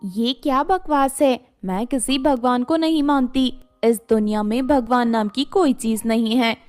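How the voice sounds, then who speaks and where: A woman's voice: fairly high pitch, a little slow, darkish tone, soft background music, lightly acted. Woman playing an arrogant, scornful rich young woman. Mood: scornful anger. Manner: haughty, dismissive.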